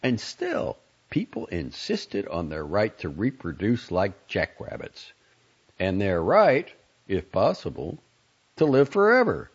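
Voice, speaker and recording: A man acts out an ancient, courteous doctor who lectures like an orator in an audiobook tale. He is emphatic and zealous, his pitch leaping about, with some gravel in the voice and a slightly dull recording. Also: huge pitch swings, takes it slowly, heavily acted. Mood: preaching, zealous. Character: lofty, lecturing centuries-old male doctor, zealous and courteous.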